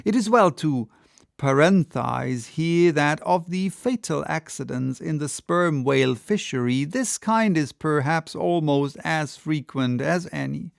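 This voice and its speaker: A man reading classic literature as an audiobook narrator, with measured, dramatic storytelling. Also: on the high side, vocal fry, dry recording, studio quality.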